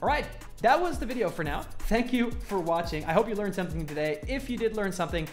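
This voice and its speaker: A man hosting a music production tutorial, explaining software step by step in an informal, casual way. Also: high-pitched, expressive, fast.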